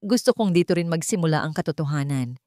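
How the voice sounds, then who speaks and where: A woman's voice: low-pitched, animated, quick pace, warm tone, no room sound, clean studio sound. A woman voicing a devoted, determined woman in love in a romance story, with earnest emotion. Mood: tender, emotional.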